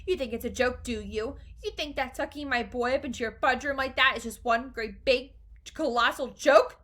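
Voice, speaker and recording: A woman's voice: high-pitched, normal speed, fairly bright tone, voice pushed up. A woman voicing a frantic, bossy mother in a storybook, screaming and snapping indignantly. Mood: furious, indignant.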